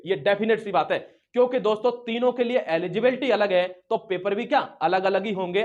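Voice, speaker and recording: North indian guy exam coach, pretty high voice, repeats stuff as questions, throws in english words. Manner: emphatic, repeats points as rhetorical questions. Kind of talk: informal exam update lecture to students.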